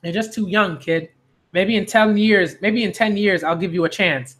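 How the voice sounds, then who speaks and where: A man's voice: high-pitched, moderate pace, fairly bright tone. A man reading the part of an easygoing male pirate captain from a manga, playfully mocking and dismissive. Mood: teasing.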